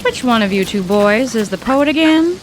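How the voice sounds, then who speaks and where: A woman's voice: a little high, animated, normal speed, background noise, close to an everyday voice. An actress playing a brash, sceptical small-town woman in a vintage-style comic audio drama, sassy, blunt and folksy. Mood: sceptical, wry.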